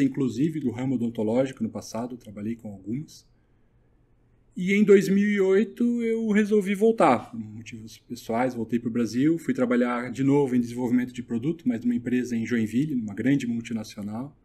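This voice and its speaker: A middle-aged man, telling his story in a relaxed, chatty way as a podcast guest. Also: mid-range voice, slightly rough. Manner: relaxed, chatty, many fillers. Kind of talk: casual interview storytelling.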